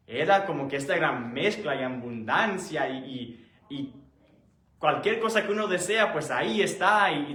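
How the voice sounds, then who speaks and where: Echoey, higher-voiced man teaching. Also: fairly bright tone. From born in Puerto Rico, raised in the United States. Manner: explanatory, halting, rephrases often. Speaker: Bible study teacher. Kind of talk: conversational sermon, Bible study teaching. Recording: roomy, echoing sound.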